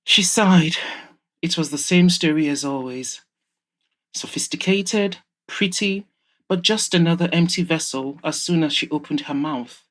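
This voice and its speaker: A woman reading scripted narration for an audio drama as its storyteller. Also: sits low.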